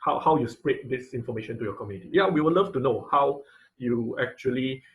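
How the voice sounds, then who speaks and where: Man, online class instructor, mid-range pitch, informal question-and-answer style, halting delivery, repeated phrases, video-call audio.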